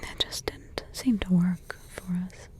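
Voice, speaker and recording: A woman voicing a centuries-old witch who is a vampire's teasing mother, in slow, intimate whispers with soft chuckles. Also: lowish voice, lightly acted. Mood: teasing, gossipy. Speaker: ASMR roleplay host. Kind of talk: whispered ASMR roleplay.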